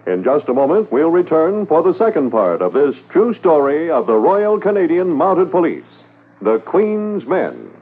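A man's voice: fairly high pitch, wildly swooping pitch, normal speed, a little hiss or hum. A male programme announcer in dramatic 1950s radio style, with stretched, emphatic phrasing. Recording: tinny 1950s radio transcription.

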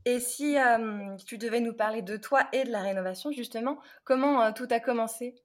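Woman podcast host, fairly high voice, dry close sound, cheerful and scripted. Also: normal speed, no room sound. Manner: bright, upbeat delivery in the read intro, hesitant with fillers in conversation. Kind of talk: scripted intro then casual interview.

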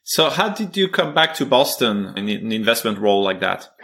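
Man, podcast host, bright tense voice, halting conversational delivery. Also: lowish voice, sing-song, vocal fry. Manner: conversational, many "uh" pauses. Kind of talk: podcast interview.

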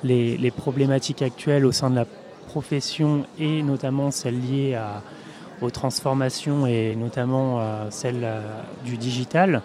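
Measured man with a mid voice, hesitant. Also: medium pitch, fairly even intonation, crowd noise. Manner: measured, frequent hesitations and repeated words. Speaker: in-house lawyer, guest. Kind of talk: event interview answers. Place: busy trade fair.

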